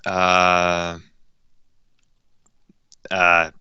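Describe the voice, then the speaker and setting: A young man in his late teens talks in a casual interview, halting and modest, often pausing on ums. His voice is very low yet bright and crisp, and the recording is dry and close. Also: completely flat delivery, no echo, highs cut off. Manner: hesitant, modest, many ums. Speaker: guest voice actor and director.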